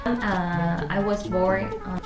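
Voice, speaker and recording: Lower-voiced Argentine woman in an echoey room. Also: level tone, slow. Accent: Argentine Spanish. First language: Spanish. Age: 20s. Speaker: vlog host. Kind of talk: casual chat to camera. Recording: roomy echo, guitar music behind. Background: guitar music.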